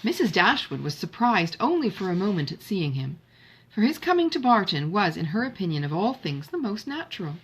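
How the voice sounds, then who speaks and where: A woman narrating a classic novel as an audiobook reader, in an expressive scripted literary style. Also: medium pitch, a little fast, husky, poor audio.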